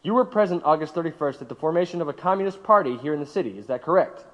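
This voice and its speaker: An actor playing a stern but polite government agent, clipped and insistent, in an audio drama interrogation. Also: male voice, a little high, lively intonation, very fast, fairly bright tone. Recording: muffled, reverberant room sound.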